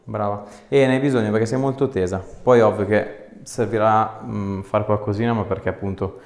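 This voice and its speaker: A man's voice: on the low side, steady tone, moderate pace, a little mellow. A man, speaking as a chiropractor in short, direct, reassuring instructions. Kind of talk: hands-on exam instructions and casual explanation. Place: small indoor treatment room. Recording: echoey small treatment room.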